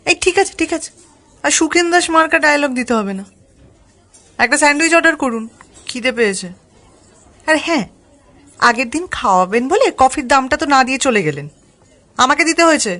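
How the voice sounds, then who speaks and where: A woman playing a feisty, teasing young woman who is quick to scold and likes to fib, in a romantic comedy audio drama. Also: sits high, animated, sharp tone. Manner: teasing, quick to scold, mixes in English exclamations. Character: feisty, teasing young woman, sharp-tongued, confessed playful fibber.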